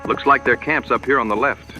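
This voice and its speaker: An actor playing a confident, plainspoken American frontier hunter with laconic, folksy dry humor in a western audio drama. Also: male voice, mid-range voice, steady tone, brisk, pushed and bright, highs cut off, soft background music. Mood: respectful.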